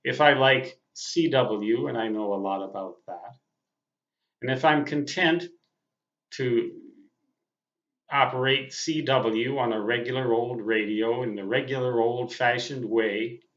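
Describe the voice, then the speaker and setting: A man in his sixties with a mid-pitched voice talks slowly and deliberately, in a casual, reflective monologue. He halts between phrases and leans on some for emphasis. The sound is slightly dull, with noticeable room echo. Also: a bit bright. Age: 60s. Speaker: veteran amateur radio operator. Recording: muffled audio in a roomy space.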